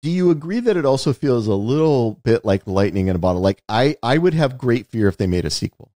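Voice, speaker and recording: A man's voice: medium pitch, animated, a little fast, mellow, no echo. A man with a mid-range voice chats casually as a podcast co-host about films. The sound is dry and close, and he tells things like an informal storyteller, slipping into little acted-out quotes. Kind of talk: casual film discussion chat.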